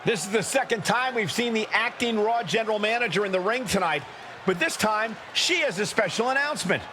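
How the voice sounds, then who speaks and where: A dramatic man announcing wrestling in a video game. His voice is strained extremely high for a man, over very loud arena noise and impacts. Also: lively intonation, a little fast. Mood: urgent, excited. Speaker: commentator.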